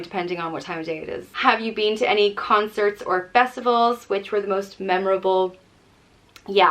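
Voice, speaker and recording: A woman's voice: mid-range voice, a bit bright. A young woman from Ireland with an Irish accent tinged with Canadian, chatting casually as a vlogger, reading out questions and answering them with light laughs. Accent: Irish with a Canadian-tinged lilt. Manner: chatty, reads each question aloud then answers it, laughs lightly between thoughts.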